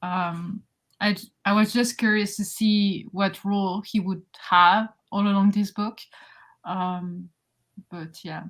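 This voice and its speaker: A woman co-hosting a casual book chat on a video call, speaking measured and thinking aloud. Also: mid-range voice, steady tone. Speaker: book podcast co-host.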